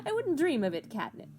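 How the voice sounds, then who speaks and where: A woman's voice: high-pitched, darkish tone, exaggerated voice. A woman voicing a flirtatious, wisecracking cat-like woman in an amateur comic drama, with a teasing, theatrical manner.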